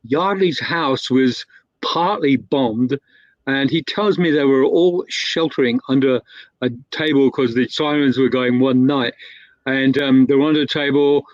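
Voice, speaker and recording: A man's voice: medium pitch, measured pace, no room sound. Rambling man telling a long story. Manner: rambling, with many fillers and self-corrections. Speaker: guest storyteller. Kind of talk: casual spoken anecdote. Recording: dull, lo-fi, dry sound.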